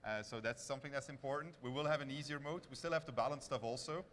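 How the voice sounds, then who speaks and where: Man presenting live amid clear hall noise. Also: mid-range voice, fast, a bit bright. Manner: hesitant, informal, thinking aloud. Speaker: game developer presenting on stage. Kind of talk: live demo presentation and audience Q&A. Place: large convention stage with audience. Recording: noisy convention hall PA sound.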